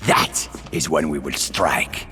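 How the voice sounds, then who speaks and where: An actor playing a suave, eloquent French resistance leader in a video game, theatrical, with a French accent. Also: male voice, extremely low pitch, slow, pushed and bright, husky, big-room sound, heavily acted. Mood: confident, sly. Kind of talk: cinematic video game acting.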